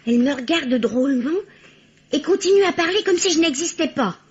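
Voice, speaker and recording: Excitable timid sister, high-pitched, earnest, hesitant, vintage radio mystery, muffled lo-fi recording. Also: female voice, fairly quick. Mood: hushed, dramatic. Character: timid, excitable, naive younger spinster sister. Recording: dull, lo-fi old radio recording.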